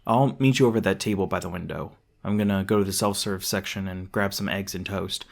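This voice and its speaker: A man playing a gruff, defensive monster hunter who secretly cares, in an intimate roleplay audio drama, with casual, sweary, hesitant speech. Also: sits low, a little fast. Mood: casual, caring. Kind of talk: intimate ASMR roleplay acting.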